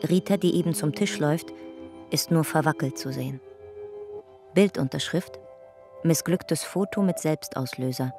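A woman's voice: low-pitched, warm tone. A woman narrating a radio drama in a sparse, matter-of-fact way, describing old photographs. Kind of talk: scripted narration of photo captions.

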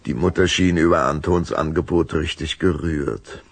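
A man with a gravelly voice narrating a scripted audio drama for children. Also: rumbling low, normal speed.

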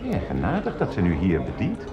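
Older patriarch, radio drama, brisk, patronising, very wide swings in pitch, lo-fi sound. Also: male voice, huge pitch swings, music in the background. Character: domineering, hearty older father, a well-off jurist. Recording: dull lo-fi radio play recording.

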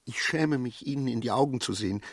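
An actor in a German radio play playing an agitated, servile, self-righteous gentleman who stammers, repeats himself and pleads in a husky voice. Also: mid-range voice, quick pace, no room sound, studio quality. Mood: anxious, contrite. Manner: stammering, repetitive, pleading, swinging from muttering to outbursts.

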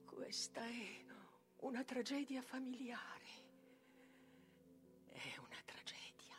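A woman playing a vengeful, malevolent sorceress mother in a theatrical tragic monologue, with a declamatory delivery that moves between fierce cries and breathy whispers. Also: on the high side, very animated, slow pace. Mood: weary, dark. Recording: music behind the voice.

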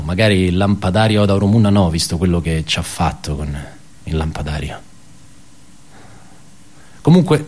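Radio drama monologue: world-weary, ironic office worker, low-pitched, conversational and self-correcting. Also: male voice. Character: wry, bitter male office worker recounting colleagues' suicides. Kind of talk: theatrical radio monologue, reflective storytelling.

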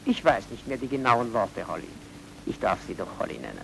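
Viennese baron, courtly, slippery, theatrical, lively intonation, radio drama. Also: male voice, medium pitch, a little fast, creaky, a little hiss or hum, rough recording. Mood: ingratiating. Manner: courteous, effusive, theatrical, evasive.

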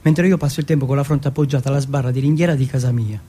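A man from Turin performing an autobiographical theatrical monologue, telling it in measured short phrases and lists. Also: medium pitch, fairly even intonation, warm tone, husky. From Turin, Italy.

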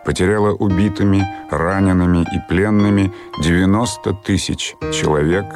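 A man's voice: low voice, fairly even intonation, moderate pace. A man reading scripted, documentary-like narration for a historical drama. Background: instrumental music.

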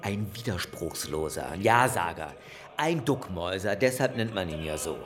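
An actor in a German radio drama playing a scornful villager who judges with emphatic contempt. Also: male voice, low voice, animated, moderate pace, noisy background. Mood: contemptuous.

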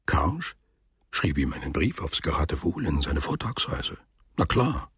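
A man narrating a literary radio drama as a sober, detached storyteller, with a deep and very husky voice. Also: big pitch swings, unhurried, a bit bright, no room sound, clean studio sound, exaggerated voice.

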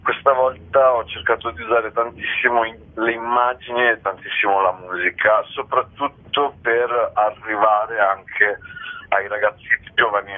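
A man giving a radio interview as a theatre guest, speaking reflectively and haltingly, often repeating his words. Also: fairly low pitch, steady tone. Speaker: guest theatre actor and author. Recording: tinny phone line on radio.

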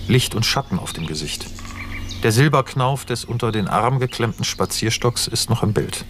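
A man's voice: medium pitch, expressive, normal speed, noisy background, lightly acted. A man playing a sober narrator who reads screenplay stage directions in a radio play, factual and clipped. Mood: matter-of-fact.

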